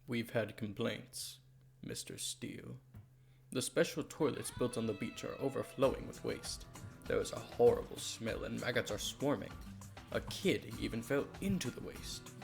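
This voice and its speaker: A man plays a dutiful male aide with a creaky, mid-pitched voice. He reports in a formal, businesslike way in a manga dub, with music playing clearly behind him. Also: normal speed, close to an everyday voice. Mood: worried, reporting. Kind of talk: dramatic manga dub acting.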